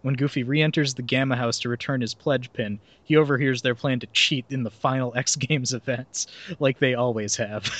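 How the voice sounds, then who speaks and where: A man's voice: mid-range voice, fairly even intonation, normal speed, a bit bright, no room sound. A young man hosting a comedy podcast, reading a film synopsis aloud and breaking off into laughter and sarcastic asides. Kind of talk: casual comedic podcast chat.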